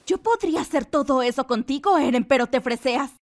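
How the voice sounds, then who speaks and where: A woman's voice: high voice, animated, a little fast. A woman playing an obsessive, jealous young woman in love in a comedic anime parody, possessive and dramatic.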